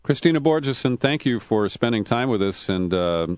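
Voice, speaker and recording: A man's voice: mid-range voice, animated, moderate pace, no room sound. A man hosting a radio interview, asking questions with a wry, dry humor.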